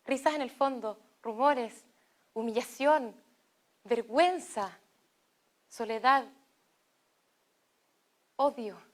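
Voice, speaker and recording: Young Chilean woman reciting a slow testimony. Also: a little high. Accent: Chilean Spanish. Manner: slow, deliberate storytelling with pauses. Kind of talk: personal testimony read as narration.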